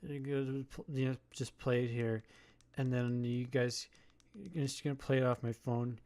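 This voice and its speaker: Hesitant man with a flat voice. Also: medium pitch. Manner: halting, hesitant, many fillers. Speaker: video commentator. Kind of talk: rambling monologue.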